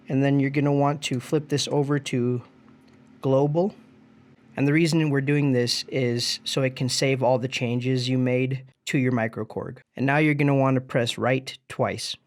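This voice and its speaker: A man presenting a music gear tutorial in an easygoing, informal step-by-step way. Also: mid-range voice.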